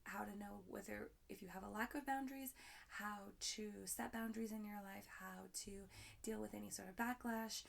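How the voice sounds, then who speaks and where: A young woman hosting her own podcast, talking in a rambling, upbeat way with lots of fillers, her voice hoarse and raspy. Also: medium pitch, steady tone, moderate pace. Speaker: podcast host. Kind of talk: solo podcast talk.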